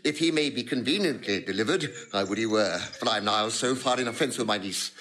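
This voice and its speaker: An actor playing a roguish gentleman prankster in a classical stage comedy. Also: mid-range voice, fairly quick, slight background noise, natural voice. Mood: conspiratorial, uneasy. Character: roguish gentleman relishing a prank, growing uneasy.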